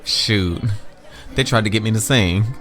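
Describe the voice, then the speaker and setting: Man doing a low, laid-back, courteous suitor. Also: a little slow, fairly bright tone, soft background music. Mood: relaxed, flirting. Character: smooth, laid-back, courteous male suitor who fixes cars.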